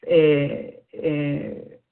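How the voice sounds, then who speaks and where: A woman's voice: sits low, monotone, very slow, clear, resonant tone. A woman calling in to share a bible study, speaking as a non-native speaker, hesitant and careful with many fillers. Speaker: caller. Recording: tinny phone line.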